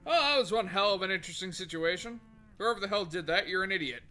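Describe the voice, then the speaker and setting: A man's voice: sits high, lively intonation, quick pace, pushed and bright. A man livestreaming a video game, chatting casually with viewers in a rambling way and swearing freely. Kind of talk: casual gaming livestream chat. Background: faint background music.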